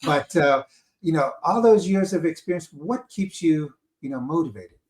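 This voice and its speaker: A man hosting a live interview, talking haltingly with many fillers and a repeated "on there". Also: fairly high pitch, animated, a little mellow, echoey room. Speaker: host, company director of school services.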